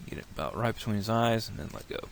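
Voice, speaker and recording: A man with a cold and a slightly nasal voice, walking through a software tutorial in a relaxed, rambling way, thinking aloud at his computer. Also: on the low side, fast, a little hiss or hum. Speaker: tutorial creator.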